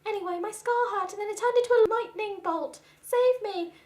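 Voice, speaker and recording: A woman playing an anguished gothic teenage boy wizard, melodramatic and yelling, in an amateur fan fiction dramatization. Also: very high voice, a little fast. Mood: urgent. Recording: echoey room.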